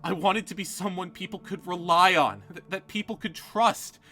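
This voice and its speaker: A man's voice: high voice, moderate pace, bright, forceful tone. A man voicing a defensive female contestant who pleads and stammers through her apologies. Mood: earnest.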